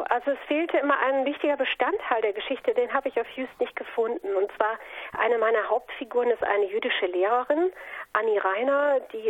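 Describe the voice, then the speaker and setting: A woman from a North Sea island in Germany, an author answering interview questions in a conversational, reflective storytelling way. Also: on the high side. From North Sea island of Juist, Germany. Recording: narrow phone-like line.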